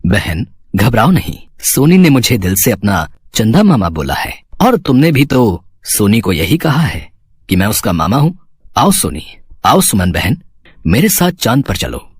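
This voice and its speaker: A man plays a kindly, princely moon uncle from a fairy tale. His voice is husky and swings expressively, grand yet reassuring, in a dry close recording. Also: on the low side, sing-song, a little slow, no echo, exaggerated voice. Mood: kindly, inviting. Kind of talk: children's fairy tale voice acting.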